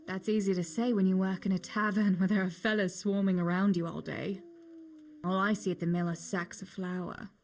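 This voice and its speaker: A woman's voice: medium pitch, fairly even intonation, normal speed, a little mellow, close to an everyday voice. A young woman playing a wry, reluctant miller's daughter in a medieval video game, with a dry manner. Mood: wry, resentful. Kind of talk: video game cutscene acting.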